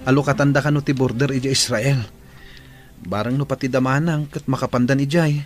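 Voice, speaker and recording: A man acting a concerned grown son who gently reassures his mother in an Ilocano radio drama. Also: mid-range voice, steady tone, fairly quick, lightly acted. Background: music.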